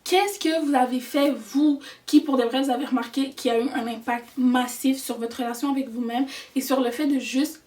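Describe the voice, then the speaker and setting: A woman's voice: high-pitched, fairly even intonation, normal speed, darkish tone, recorded from a distance. A young woman giving earnest, chatty life advice in a vlog, slipping in English words. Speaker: vlogger.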